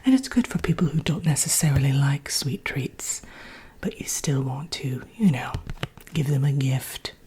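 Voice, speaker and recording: A woman playing a genteel, drily wicked Evil Queen in a soft, breathy whispered ASMR roleplay, presenting like a workshop teacher. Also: a bass voice, expressive, moderate pace, a little hiss or hum. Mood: sly, pleased. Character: haughty, wicked fairy-tale Evil Queen teaching recipes.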